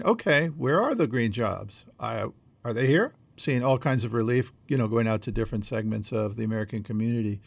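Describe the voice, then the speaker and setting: A man co-hosting a conversational podcast, explaining things in a measured way as he thinks aloud. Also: medium pitch, creaky, dry recording. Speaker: podcast co-host.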